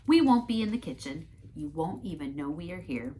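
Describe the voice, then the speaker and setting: A woman's voice: deep voice, lively intonation, moderate pace, mellow. A woman voicing an earnest, harried mother in a storybook, instructing children insistently. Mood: reassuring, instructing.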